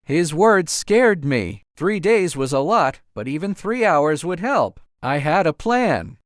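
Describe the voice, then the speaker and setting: Male narrator for first-person fiction. Highish pitch, swooping delivery. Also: very animated, slow, dry recording, clean studio sound. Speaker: audiobook narrator.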